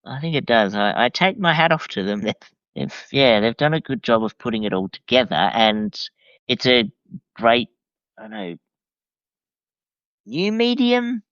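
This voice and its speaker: A man's voice: medium pitch, big pitch swings, measured pace, long pauses, a bit bright, clear, resonant tone, no room sound, highs cut off. A man chatting casually as a podcast guest, mild and reflective, with a hesitant delivery. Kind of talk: casual conversational book review.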